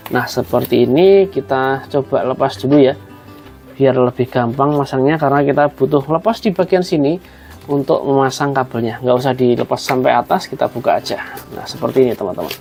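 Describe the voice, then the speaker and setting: A man with a gravelly, creaky voice hosts a casual tutorial over background music. His pitch sits in the middle range. He talks conversationally through each hands-on step, often addressing viewers as friends. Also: brisk, darkish tone. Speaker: host of a tutorial video.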